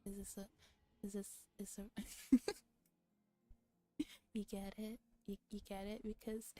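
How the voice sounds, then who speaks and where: A woman streamer chatting casually in a relaxed ASMR livestream, with playful, giggly banter between bits of reading a visual novel aloud. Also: medium pitch, slow pace, dry recording. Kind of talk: casual livestream chat mixed with game read-aloud, ASMR.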